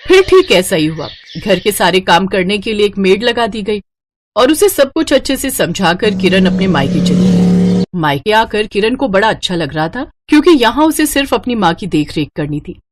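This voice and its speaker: A woman narrating an animated moral story in a scripted storytelling style. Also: medium pitch, moderate pace, slight background noise.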